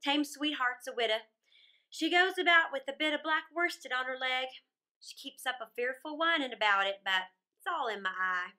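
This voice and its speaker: A woman's voice: high voice, normal speed, pushed and bright. A woman voicing a brash, cheeky young robber girl in a children's storybook, speaking bluntly and teasingly with emphasis. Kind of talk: children's storybook read-aloud.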